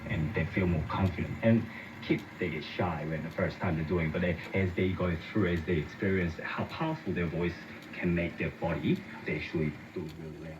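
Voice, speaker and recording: A man's voice: low voice, fairly quick. A man explaining in a radio interview, heard as muffled, noisy playback from a distance.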